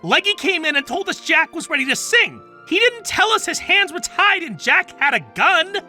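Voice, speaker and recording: A man's voice: extremely high pitch, big pitch swings, moderate pace, pushed and bright, soft background music, heavily acted. A man playing a dim, whiny gangster henchman with slangy, ungrammatical speech in a comic noir radio drama. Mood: defensive.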